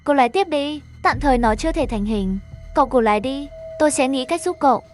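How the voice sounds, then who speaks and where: A woman with a high-pitched voice narrates a scripted horror animation story, voicing the dialogue as she goes. Faint music plays underneath her. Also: animated, brisk, darkish tone.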